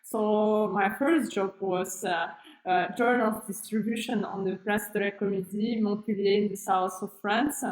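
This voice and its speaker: A woman's voice: medium pitch, steady tone, unhurried, roomy sound, rough recording. A woman in her thirties from Kazakhstan giving a live online presentation through her webcam, talking with many fillers and restarts in phrasing. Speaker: webinar presenter, school founder. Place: room with plain wall, indoors. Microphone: webcam on a computer, video call framing.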